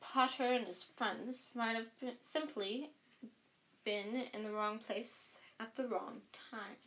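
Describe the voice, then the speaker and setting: A woman's voice: on the high side, steady tone, slow pace, fairly bright tone, poor audio. A woman playing a cold, sneering teacher.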